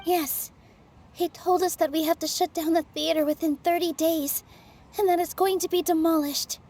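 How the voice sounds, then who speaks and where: An actress playing a gentle, earnest young theater dancer with a soft, polite manner. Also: female voice, very high-pitched, fairly even intonation, normal speed. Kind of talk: video game voice acting.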